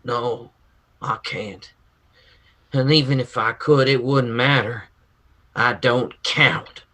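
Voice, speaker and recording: A man's voice: medium pitch, fairly even intonation, slow, pushed and bright. A man playing a bitter, anguished, self-loathing young son in a radio western drama. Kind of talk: old-time radio drama acting.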